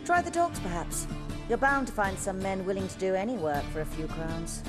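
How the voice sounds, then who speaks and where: A woman voicing a brisk, wry theatre woman in a video game, dry and refined. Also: mid-range voice, lively intonation, lightly acted. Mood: wry, businesslike. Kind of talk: video game character acting. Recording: music behind.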